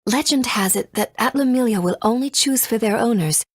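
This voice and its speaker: An actress voicing a gentle, solemn mother in a video game, speaking in a measured, earnest way. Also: a little high, husky, no echo, clean studio sound. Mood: solemn, explanatory. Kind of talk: scripted game exposition.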